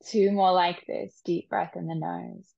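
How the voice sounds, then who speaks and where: A woman leading a guided meditation with soft, soothing instructions. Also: on the low side, level tone, gravelly, no room sound, lo-fi sound. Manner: soft, slow, soothing instructions with long pauses.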